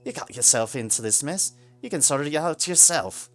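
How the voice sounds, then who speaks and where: A man voicing a blunt, quick-tempered young woman full of slang. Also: mid-range voice, huge pitch swings, soft background music, full character voice. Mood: disgusted. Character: brash rough-talking young woman.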